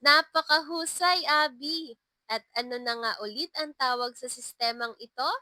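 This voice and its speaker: A woman's voice: sits high, moderate pace, sharp tone, dry recording. A woman voicing a kind, encouraging female elementary school teacher in a narrated story.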